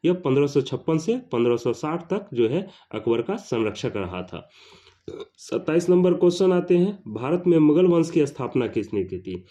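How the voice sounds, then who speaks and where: Dark-voiced man teaching exam questions. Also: fairly high pitch, sing-song, normal speed. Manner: didactic, repeats each question and answer. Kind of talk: exam question-and-answer lesson. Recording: dull lo-fi sound.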